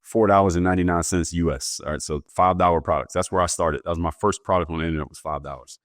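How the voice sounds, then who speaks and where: A male performance coach, a guest on a podcast, giving steady, matter-of-fact explanations. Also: deep voice, quick pace, no echo, studio quality. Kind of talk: interview answers.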